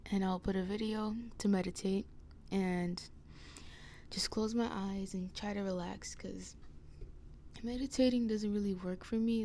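A woman's voice: mid-range voice, warm tone. A young adult woman with a scratchy voice, chatting casually by herself in a hesitant, meandering way. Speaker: podcast host. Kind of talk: casual rambling solo chat. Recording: noticeable background noise.

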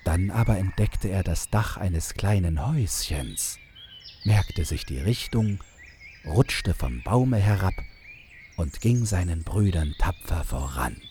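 A man narrating a scripted fairy tale in a measured storytelling manner. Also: low-pitched, lively intonation, darkish tone, slight background noise.

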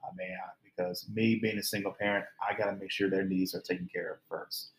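A man telling his side of a shared story, earnest and halting with repeated restarts. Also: low voice, flat delivery, roomy sound, low-quality recording. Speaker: guest, her partner. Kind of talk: casual conversational storytelling.

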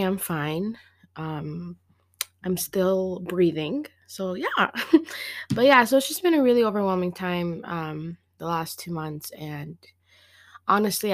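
Brief: twenties woman, podcast host, a bit hoarse, gravelly fry, chatty with lots of ums. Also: lowish voice. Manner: chatty and slangy, many fillers and small laughs. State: slightly hoarse after illness. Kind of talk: casual solo podcast chat.

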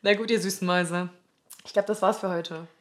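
A woman's voice: on the low side, steady tone, fairly quick. A young woman chatting casually as a podcast co-host, blunt and slangy in the way she talks. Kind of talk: casual chat.

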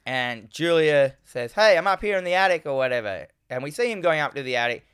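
Male co-host, low from a cold, smooth clear voice, dry close mic, swears a lot. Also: lively intonation, a bit bright, dry recording. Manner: swears freely, acts out characters' lines in quick voices. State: getting over a cold, voice lower than usual. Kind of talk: casual film recap chat.

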